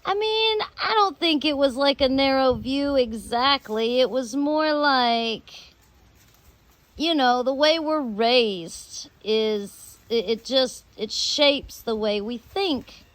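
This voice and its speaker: An American woman telling rambling personal stories in drawn-out, halting phrases with long pauses. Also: high-pitched, lively intonation, a bit bright, no room sound. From United States. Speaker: storyteller host.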